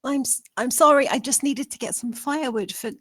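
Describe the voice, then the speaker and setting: A woman playing a timid, apologetic young servant in a period screenplay read, speaking softly and hesitantly. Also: sits high, fairly quick, no echo, clean studio sound. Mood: frightened, apologetic. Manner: soft, hesitant.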